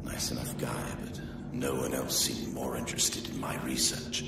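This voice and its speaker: An actor playing a grave, wary scientist in a video game, gravelly and articulate, with an ominous, deliberate delivery. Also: male voice, rumbling low, little pitch movement, very noisy, heavily acted. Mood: brooding. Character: grave male scientist, wary asylum-held former monster. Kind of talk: scripted video game acting. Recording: music behind, at times echoey and distant.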